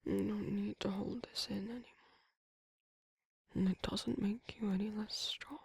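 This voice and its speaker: A woman playing a tender, flirty vampire in an intimate romantic ASMR roleplay, whispering slowly with doting, teasing words and soft hums. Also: medium pitch, fairly even intonation, no echo, studio quality. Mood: tender, reassuring. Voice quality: breathy, husky whisper.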